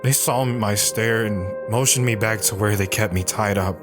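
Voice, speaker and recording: A man playing a guarded, stoic wandering veteran in an audio drama, telling his story in a somber, measured way with short, plain sentences. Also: fairly low pitch, close to an everyday voice. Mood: somber, reflective. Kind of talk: dramatic first-person audio drama narration. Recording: music behind the voice.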